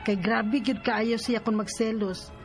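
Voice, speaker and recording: High-voiced, anguished betrayed woman, radio drama. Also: steady tone, unhurried, soft background music. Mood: troubled. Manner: plaintive, emotional. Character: anguished betrayed live-in partner, jealous-hearted young mother. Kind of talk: Filipino radio drama acting.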